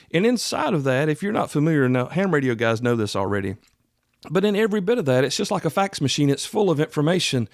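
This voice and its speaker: A man's voice: medium pitch, no echo, studio quality. A middle-aged man hosting a podcast, explaining things in an earnest, conversational, folksy way. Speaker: podcast host. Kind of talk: casual explanatory monologue.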